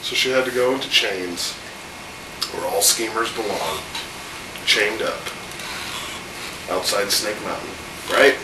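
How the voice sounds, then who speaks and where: A man's voice: lowish voice, slow pace, pauses often. Man with a cold, rambling casually. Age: 30s. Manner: chatty, rambling with fillers. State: has a cold, voice a little off. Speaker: host. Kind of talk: casual home video chat. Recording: echoey room, distant mic, air conditioner hum.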